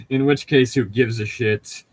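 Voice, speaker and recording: A man's voice: mid-range voice, fairly even intonation, slow pace, breathy edge, no echo, poor audio. A young man in casual, joking, profane chat as a co-host reading a book aloud with commentary. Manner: profane, bantering.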